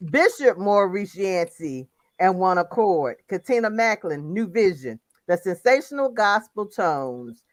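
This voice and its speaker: A woman's voice: mid-range voice, no echo. A middle-aged woman hosting a gospel radio chat, emphatic and repeating herself for effect. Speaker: radio show host.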